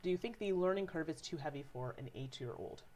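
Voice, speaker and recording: A woman co-hosting a casual board game livestream, stuffy and sniffly from a sinus infection, chatty and quick to laugh. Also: deep voice, animated, a little mellow. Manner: friendly, chatty, laughs easily. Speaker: co-host.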